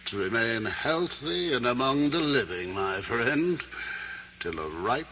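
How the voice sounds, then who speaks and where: An actor playing a scheming medieval sheriff in a radio drama, pompous and formal in a measured delivery, on a noisy old recording. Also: male voice, mid-range voice, animated, sharp tone, heavily acted. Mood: sly, assured. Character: scheming, haughty medieval sheriff serving the crown.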